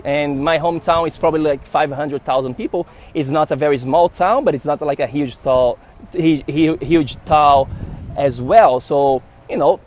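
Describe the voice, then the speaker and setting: A young man from Brazil with a Brazilian Portuguese accent, telling a story to camera in a casual, animated way and acting out the dialogue. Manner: animated, acts out dialogue with rising pitch. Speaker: health vlogger. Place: outdoors in a city. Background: traffic noise.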